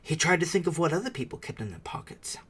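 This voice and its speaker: Husky, animated male storyteller. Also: a little high, fast talker. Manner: theatrical, shifting between hushed and emphatic. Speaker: audiobook narrator. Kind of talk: dramatic storybook narration.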